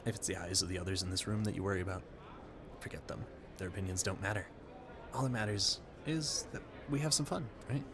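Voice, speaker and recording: Man acting a flustered, low-voiced romantic gentleman. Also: quick pace, noisy background, lightly acted. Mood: nervous, courteous.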